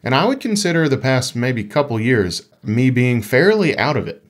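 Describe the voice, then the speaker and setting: A man's voice: medium pitch, very animated, normal speed. A man co-hosting a podcast, chatting casually and emphatically about video games in an informal way. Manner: enthusiastic, emphatic, informal with swearing. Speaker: podcast co-host.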